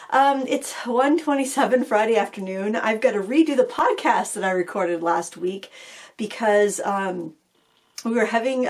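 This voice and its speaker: A middle-aged woman hosting a craft channel, talking casually to camera with a slightly raspy voice after being ill, rambling with fillers and restarts. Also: medium pitch. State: recovering from illness, a little raspy.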